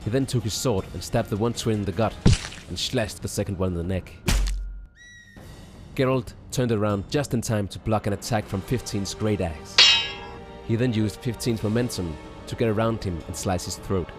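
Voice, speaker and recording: A man's voice: lowish voice, normal speed. A man reading scripted fantasy story narration, with music behind him. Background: background music.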